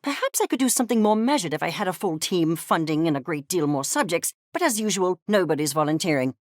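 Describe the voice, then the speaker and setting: A woman's voice: fairly low pitch, lively intonation, quick pace, no room sound, studio quality, close to an everyday voice. Woman voicing a haughty, swooping creature scientist. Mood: brisk, imperious. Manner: precise, haughty, clipped academic diction. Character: pompous, obsessive fuzzy creature scientist, coldly curious and disdainful. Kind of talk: video game character voice lines.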